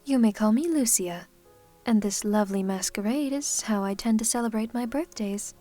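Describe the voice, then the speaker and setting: A woman playing a gracious, commanding, centuries-old vampire hostess in an ASMR roleplay, speaking formally with gentle teasing. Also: a little high, moderate pace, faint music behind, natural voice. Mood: teasing, welcoming.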